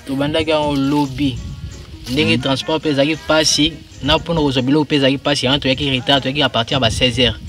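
A man's voice: medium pitch, fairly even intonation, quick pace, fairly bright tone, soft background music. A young man answering interview questions outdoors beside a metal shed, explaining the building work. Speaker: worker.

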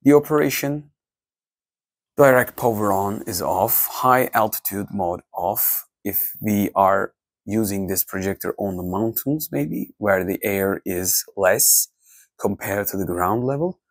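Man, gravelly. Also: fairly low pitch, lively intonation, no room sound. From Turkey. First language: Turkish. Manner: halting, many restarts and fillers. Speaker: tech reviewer. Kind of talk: off-the-cuff menu walkthrough.

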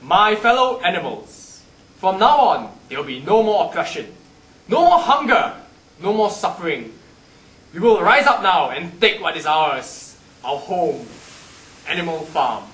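A man's voice: sits high, big pitch swings, measured pace, a bit bright, heavily acted. A young man playing a domineering, bullying farm animal rebel leader in an amateur skit, with emphatic, declamatory delivery. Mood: rousing, defiant. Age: young adult. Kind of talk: amateur skit acting, oratory and shouted orders. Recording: echoey room, noisy camcorder sound.

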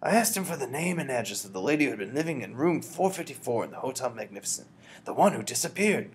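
A man voicing a calm, wise elderly grandmother who speaks in a measured, reassuring way. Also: medium pitch, a little hiss or hum, pitched above the performer's own voice, close to an everyday voice.

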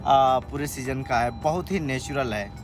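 A chatty man in his thirties talks to a handheld camera outdoors, laughing easily and drifting between languages. His pitch sits in the middle range. Light music plays faintly behind him. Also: unhurried. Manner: chatty, laughs often, mixes in English. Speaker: family vlogger. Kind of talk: casual vlog narration. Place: outdoors at a campsite and a beach. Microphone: handheld camera at arm's length.

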